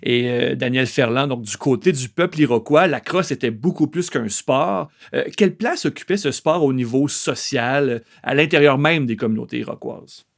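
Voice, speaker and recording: A man hosts an interview. His voice sits at an ordinary middle pitch. He asks questions courteously, often pausing on fillers. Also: creaky. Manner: polite, hesitant, frequent fillers. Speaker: podcast host. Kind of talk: interview hosting.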